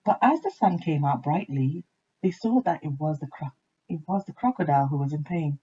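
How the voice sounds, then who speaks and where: Female picture-book reader: animated, expressive storytelling with a breathy, rough edge, on a somewhat dull lo-fi recording. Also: deep voice, a little fast. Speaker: teacher reading a storybook aloud. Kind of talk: expressive children's story narration.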